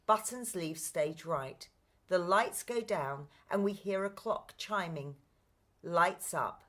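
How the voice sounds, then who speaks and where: A woman's voice: on the low side, slow, a bit bright. A woman narrating scripted stage directions for a radio play.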